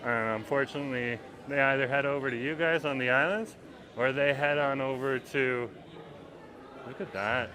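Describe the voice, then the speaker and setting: A man's voice: medium pitch, normal speed. A man giving a casual, rambling walking-tour commentary on a livestream, outdoors at a busy waterfront festival. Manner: rambling, chatty, reads viewer comments aloud. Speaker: livestream vlogger. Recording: loud crowd noise outdoors. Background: festival crowd chatter.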